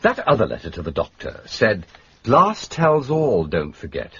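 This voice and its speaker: Posh english gentleman sleuth, light and musing, really swoopy pitch, radio play, muffled. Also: huge pitch swings, moderate pace, breathy edge, heavily acted. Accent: English upper-class. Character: mild, urbane gentleman detective. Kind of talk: British detective radio drama acting. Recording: dull, lo-fi radio recording.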